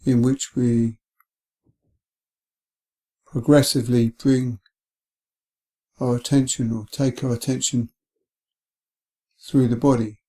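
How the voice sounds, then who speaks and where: A man guiding a meditation in a gentle, calm, unhurried way, leaving long pauses between phrases. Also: mid-range voice. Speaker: meditation guide. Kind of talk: guided body scan meditation.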